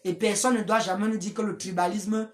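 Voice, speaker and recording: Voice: mid-range voice, steady tone, a little fast. A speaker, giving a rambling, preachy live-stream address to followers, with many restarts. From Africa.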